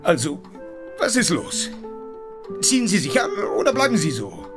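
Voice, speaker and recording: A man's voice: high voice, huge pitch swings, moderate pace, over music. An actor playing a meek, polite, slightly puzzled male visitor in a radio drama. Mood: mildly puzzled.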